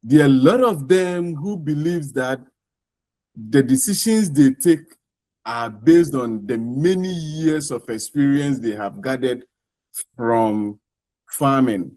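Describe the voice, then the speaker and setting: A man with a Ghanaian accent answering interview questions off the cuff, in deliberate, halting and emphatic phrases. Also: mid-range voice, a little mellow, dry recording, poor audio. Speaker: interview guest, scholarship winner.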